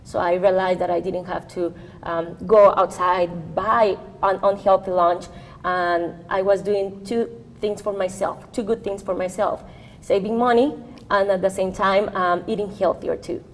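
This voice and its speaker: A young woman from Costa Rica with a strong Spanish accent, answering as a guest on a community talk show and pausing often as she searches for words. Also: mid-range voice, fairly even intonation, in a large hall, a little hiss or hum. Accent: Costa Rican Spanish. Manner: hesitant, many pauses with um. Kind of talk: interview answer.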